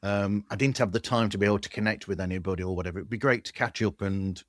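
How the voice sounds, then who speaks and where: A man's voice: on the low side, fairly even intonation, fast, dry recording, clean studio sound. A man hosting a casual podcast conversation. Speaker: podcast host.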